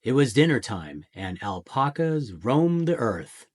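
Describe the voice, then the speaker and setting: A man reading a children's picture book aloud in a theatrical way, with deliberate pauses and emphasis. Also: mid-range voice, sing-song. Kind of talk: dramatic children's storybook narration.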